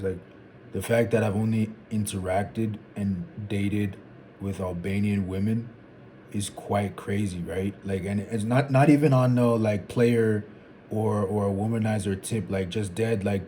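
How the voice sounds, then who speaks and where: Twenties guy rambling to camera, lowish mellow voice, lots of like and bro. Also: a little hiss or hum. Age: 20s. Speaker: vlogger. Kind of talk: casual reflective monologue.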